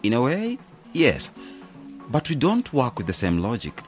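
An actor playing an earnest, polite young agronomist in a radio drama. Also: male voice, mid-range voice, wildly swooping pitch, measured pace, faint music behind. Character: earnest, diplomatic young male agronomist graduate.

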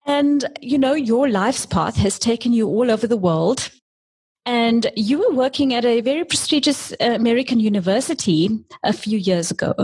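Woman interviewer, breathy voice, somewhat high pitch, gentle affirming questions.

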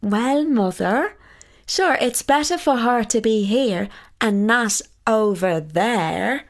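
An earnest young country lad from a fairy tale, speaking eagerly, as a woman reader puts him on. His voice is set somewhat high, and he speaks slowly. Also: expressive.

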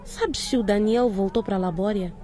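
A woman's voice: mid-range voice, brisk, dark tone, close to an everyday voice. A woman playing a firm, inquisitive middle-aged school director in a radio drama, authoritative and probing. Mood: questioning.

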